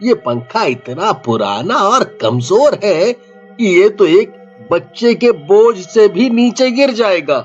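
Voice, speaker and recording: A persuasive young cartoon man, acted by a man, with a high voice. He coaxes slowly and deliberately. The sound is slightly dull and lo-fi. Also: very animated, husky, faint music behind, full character voice. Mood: coaxing. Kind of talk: cartoon dialogue acting.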